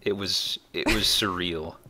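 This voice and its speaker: A man's voice: deep voice, fairly even intonation, measured pace, sharp tone. A man hosting a let's play, giving casual, conversational game commentary with wry, jokey asides.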